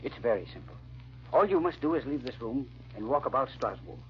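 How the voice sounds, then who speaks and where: Through a dull, band-limited vintage radio recording comes a mid-pitched male voice. An actor plays a formal, earnest baron, measured and determined, in radio drama style. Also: expressive, close to an everyday voice. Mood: grave, determined. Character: grave, determined baron seeking vengeance for murdered wife. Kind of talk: old-time radio drama acting.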